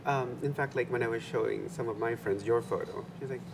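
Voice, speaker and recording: A woman hosting an interview, chatty and quick to laugh, asking casual questions filled with "um" and "like". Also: a bass voice, creaky. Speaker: interviewer, host. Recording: background noise.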